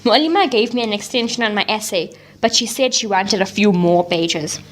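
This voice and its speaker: A woman's voice: medium pitch, moderate pace, close to an everyday voice. A woman playing a slangy, self-doubting teenage schoolgirl in an audio drama. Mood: casual, grumbling.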